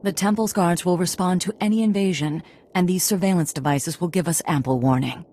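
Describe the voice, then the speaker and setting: A woman acts out a serious Jedi teacher in a video game cutscene. Her voice is deep for a woman, and she speaks in a formal, calm, measured way. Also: close to an everyday voice. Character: composed, serious female Jedi master, teacher of padawans.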